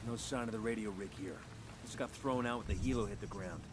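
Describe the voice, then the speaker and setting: A man playing a steady commando leader. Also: lowish voice, fast, background noise, close to an everyday voice. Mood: calm. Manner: clipped, matter-of-fact orders. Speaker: special forces team leader.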